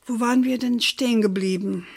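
A woman's voice: a little high, vocal fry, no echo. An actress playing an older grandmother with an American-tinged German accent, tenderly telling her family history in a children's radio play. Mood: tender, reminiscing. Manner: measured, tender, sprinkles in English endearments. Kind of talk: gentle bedtime storytelling in a children's radio play.

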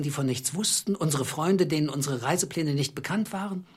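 An actor playing a middle-aged, bitter clerk who speaks in a deliberate, accusing, sarcastic way in a radio drama. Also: male voice, a little high, breathy edge, exaggerated voice. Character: bitter, calculating small clerk confronting his treacherous wife.

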